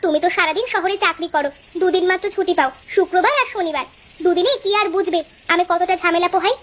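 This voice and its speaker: A woman voicing a stern, harried village mother in a children's cartoon, brisk and scolding. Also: very high-pitched, slight background noise. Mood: exasperated. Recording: music behind the voice.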